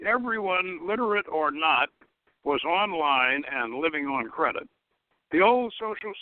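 A man hosting a radio show, giving a dramatic reading of satirical fiction in a halting way, stumbling and rereading phrases. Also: fairly high pitch, dry recording. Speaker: radio show host, author reading own novel. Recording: thin phone-like radio line.

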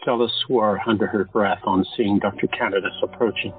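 Steady male narrator, lowish voice, phone-thin sound, soft music. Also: brisk, phone-quality sound. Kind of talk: scripted audio drama narration.

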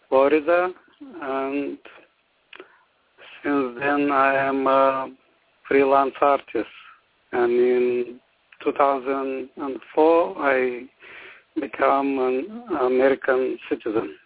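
A middle-aged man from Bulgaria with a Bulgarian accent, giving halting, slow answers with many fillers as an interview guest. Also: mid-range voice, no echo. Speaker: guest artist. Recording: tinny phone line.

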